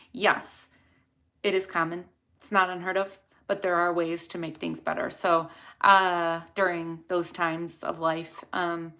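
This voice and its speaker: A woman's voice: medium pitch, pushed and bright. A young woman with a Chicago accent, a dental hygienist chatting through a livestream Q&A and answering viewers' questions in a rambling, casual way. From Chicago area.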